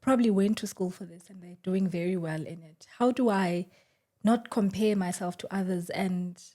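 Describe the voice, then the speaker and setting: A woman's voice: fairly low pitch, moderate pace. A woman hosting a casual podcast, asking questions and affirming her guest. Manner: warm affirming listener, asks questions. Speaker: podcast host. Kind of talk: casual interview chat.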